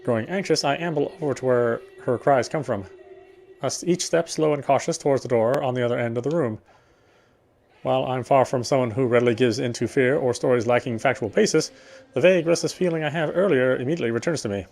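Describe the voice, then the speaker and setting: A man's voice: mid-range voice, fast talker, vocal fry, darkish tone, slight background noise. A man playing a game and reading its first-person story narration aloud in a careful way, now and then stumbling and correcting himself. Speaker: game player reading a visual novel aloud.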